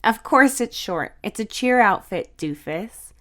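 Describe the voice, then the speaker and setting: Woman doing a bossy teasing big sister for an audiobook, high and breezy, coaxing but caring. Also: expressive, unhurried, fairly bright tone. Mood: excited. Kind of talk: audiobook character dialogue.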